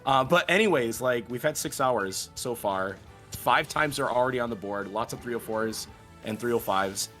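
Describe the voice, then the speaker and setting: A man's voice: mid-range voice, normal speed, sharp tone, soft background music. A man hosting a livestreamed speedrun event, projecting like a broadcaster while fighting off a sickness. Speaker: host and commentator of a speedrun event. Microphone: own microphone at a desk.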